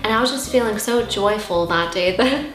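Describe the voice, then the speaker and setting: A young woman with Armenian as her first language talks casually to her viewers as a vlog host. Her voice sits at a middling pitch and she comes across as earnest and encouraging. The room adds a noticeable echo, and faint music plays underneath. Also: moderate pace, a bit bright. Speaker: YouTube host answering viewer questions. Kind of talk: casual question-and-answer vlog. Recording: roomy echo, music behind.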